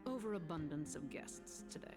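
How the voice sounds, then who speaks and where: Creaky, haughty stateswoman over music. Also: low voice, lively intonation, normal speed. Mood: wary, poised. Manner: formal, poised, veiled menace. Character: haughty, stern female politician of high rank. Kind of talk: video game story acting. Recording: game audio with music behind.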